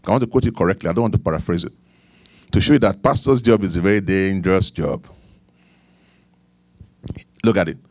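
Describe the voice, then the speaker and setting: A middle-aged man with a Nigerian accent preaching a sermon, repeating key lines, leaning into emphatic points and then dropping low for quiet asides. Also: a little fast, long pauses, dry recording, clean studio sound. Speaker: pastor.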